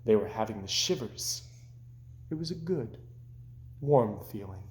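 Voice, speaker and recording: A man reading a children's story aloud as narrator, expressive, gentle and deliberate. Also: lowish voice, slight background noise. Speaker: storybook reader.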